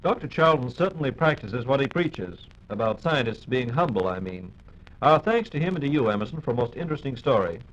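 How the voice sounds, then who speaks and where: Mid-pitched American man hosting 1940s radio. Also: lively intonation, brisk. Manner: polite, formal. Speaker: radio host. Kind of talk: scripted 1940s radio announcing. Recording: dull 1940s radio broadcast recording.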